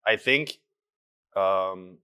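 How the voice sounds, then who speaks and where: A man's voice: deep voice, drawn-out words, bright, forceful tone, smooth. A young man, an actor, giving earnest and reflective interview answers with hesitant fillers.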